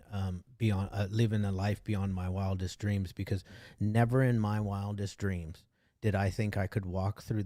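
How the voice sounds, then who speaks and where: A man's voice: low-pitched, warm tone, dry recording, studio quality. A man hosting an online recovery meeting over a video call, earnest and casual, often restarting his sentences. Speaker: meeting host.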